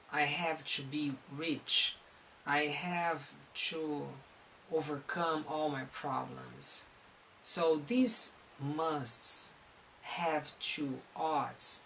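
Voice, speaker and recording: Slow-spoken Brazilian-accented man. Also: fairly high pitch, creaky, fairly bright tone, a little hiss or hum, low-quality recording. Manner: halting, earnest, often restarts phrases. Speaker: counselor. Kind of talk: recorded talk on psychology.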